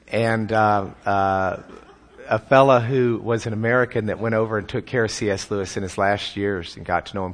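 A man with a Texan accent giving a folksy, self-deprecating church talk about a writer's conversion, often repeating words as he builds a point. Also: lowish voice. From Texas. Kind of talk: sermon-style talk with slides.

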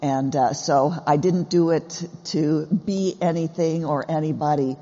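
A woman's voice: low voice, a little slow, dark tone. A Swedish-born woman raised in the U.S. being interviewed as a guest scholar onstage, often laughing at herself. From Sweden, raised in the U.S. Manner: laughs easily, self-deprecating. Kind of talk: live stage interview. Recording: dull sound with long hall reverb.

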